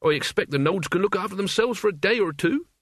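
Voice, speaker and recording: A man's voice: high-pitched, expressive, fast, fairly bright tone, dry recording, clean studio sound, voice pushed up. A man voicing a naive, well-meaning teenage boy, blunt and artless, in an audiobook story. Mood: puzzled.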